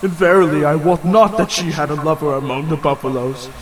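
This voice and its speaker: A man playing an excitable, obsequious hunchbacked groom who pleads fearfully, in an audio drama. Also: on the high side, fairly quick, a crowd in the background, natural voice, rough recording. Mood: pleading. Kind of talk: audio drama acting of an Arabian Nights tale.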